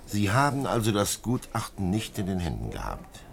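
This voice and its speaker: An actor playing a calm, dogged middle-aged French police commissioner in a 1950s German radio drama, questioning in a measured, terse way. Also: male voice, fairly low pitch, vocal fry, heavily acted. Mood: dry, firm. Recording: noisy vintage 1950s radio recording.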